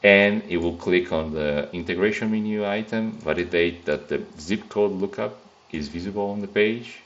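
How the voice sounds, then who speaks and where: Very deep-voiced man with a Brazilian accent. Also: moderate pace, a bit bright, highs cut off. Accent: Brazilian Portuguese. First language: Brazilian Portuguese. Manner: casual, thinking aloud, frequent fillers and restarts. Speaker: software test engineer. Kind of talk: screen-recorded coding tutorial.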